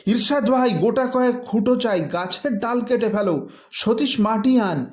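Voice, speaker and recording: Male narrator as young village leader, commanding, determined, urgent calls, raised pitch, storybook drama. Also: moderate pace, darkish tone, echoey room. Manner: urgent, calling out orders.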